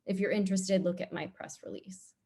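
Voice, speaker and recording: A woman's voice: medium pitch, steady tone, a little fast, gravelly, mellow. A woman talking casually as a panelist, explaining her advice in a chatty way. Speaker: panelist, TV news producer.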